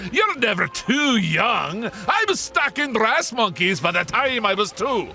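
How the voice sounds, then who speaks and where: A man playing a boisterous, teasing sailor in an audio drama, with salty dialect and a booming, hearty manner. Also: very animated, slow, creaky, bright, forceful tone, full character voice. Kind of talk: scripted audio drama acting. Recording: music behind the voice.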